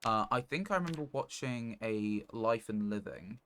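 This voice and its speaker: A man streaming a game live, giving casual commentary in a halting, rambling way as he thinks aloud. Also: fairly low pitch, lively intonation, a bit bright. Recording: noticeable background noise.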